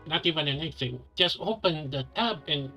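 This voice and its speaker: A woman's voice: low voice, lively intonation, normal speed, sharp tone. A woman giving casual gameplay commentary as a streamer. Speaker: game streamer. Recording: game music under the voice.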